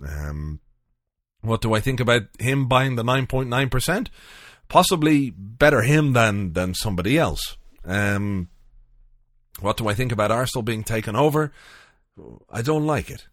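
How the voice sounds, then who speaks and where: A man's voice: medium pitch, animated, moderate pace, no room sound, studio quality. A man hosting a podcast from home late at night, chatting loosely and rambling with lots of fillers and asides. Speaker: podcast host.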